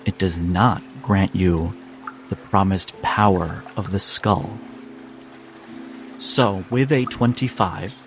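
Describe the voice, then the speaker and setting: A man with a fairly low voice acts as game master for a tabletop game. He narrates dramatically, setting phrases apart with deliberate pauses. Clear music and rain play behind him. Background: music and rain sounds.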